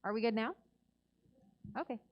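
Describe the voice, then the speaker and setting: A woman co-leading worship, chiming in with quick, chatty asides. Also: on the high side, muffled recording. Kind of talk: casual chat at a church livestream.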